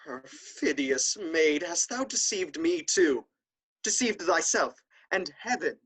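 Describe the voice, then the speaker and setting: A man's voice: on the high side, very animated, slow, a bit bright, slightly rough, exaggerated voice. An actor playing a stern, honor-obsessed nobleman brother in a classical stage comedy, declaiming in a haughty, indignant way. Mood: outraged.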